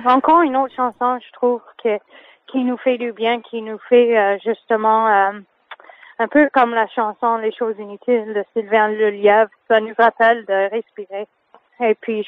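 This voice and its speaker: A woman's voice: on the high side, steady tone, measured pace, no room sound. A woman singer whose first language is English, speaking French in a radio interview, hesitant and full of fillers and restarts. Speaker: singer guest. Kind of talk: phone interview. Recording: tinny phone line.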